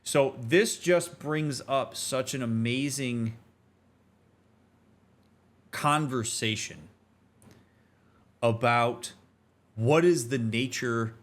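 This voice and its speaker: A man's voice: mid-range voice, fairly bright tone. A man streaming a game, giving reflective commentary in an earnest, emphatic, explanatory and conversational manner. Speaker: streamer, therapist host.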